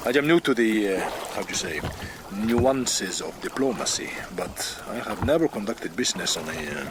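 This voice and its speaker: A man acts a Spanish-accented ambassador in a period radio drama. His voice is fairly low and he speaks with courtly, deliberate persuasion. Noisy water ambience sits behind him. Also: lively intonation, natural voice.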